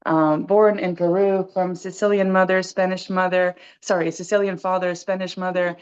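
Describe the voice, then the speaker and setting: Lower-voiced woman on a dull call line. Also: level tone, clean tone. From Dominican Republic. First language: French. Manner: warm, laughs often, pauses with ums. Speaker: inn manager. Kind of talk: casual radio interview guest. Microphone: video call. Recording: dull remote call audio.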